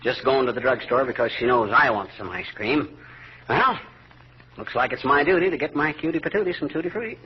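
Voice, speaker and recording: An actor playing a blustery, grumbling, wisecracking middle-aged husband with a folksy manner in a 1940s radio comedy. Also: male voice, medium pitch, a little fast, close to an everyday voice. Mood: exasperated, wry. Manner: folksy, exclaiming, exasperated wisecracks. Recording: dull, noisy vintage radio recording.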